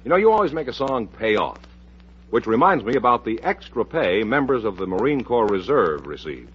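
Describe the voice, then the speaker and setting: An American man works as a vintage radio announcer, selling in a folksy, upbeat and polished way. His voice is quite husky and swings animatedly in pitch. The sound is a dull old radio transcription. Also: sing-song, normal speed, fairly bright tone. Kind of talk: 1950s radio recruitment pitch and show hosting.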